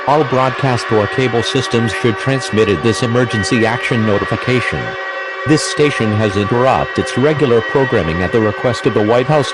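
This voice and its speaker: A man's voice: on the low side, very noisy, close to an everyday voice. A man playing a grave emergency alert announcer, reading scripted official warnings in a formal, stiff way.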